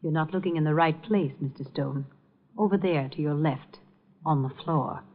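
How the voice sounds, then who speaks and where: A woman's voice: low voice, normal speed, a little hiss or hum, close to an everyday voice. An actress playing an icy, imperious, mocking woman in a 1950 radio drama, with a cold, clipped, commanding delivery. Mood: coolly mocking. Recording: dull old radio recording, faint music.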